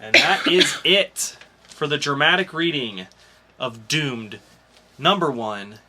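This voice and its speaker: A man's voice: on the high side, expressive, slow, bright, forceful tone. A man hosting a podcast in a casual, chatty style. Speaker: podcast host.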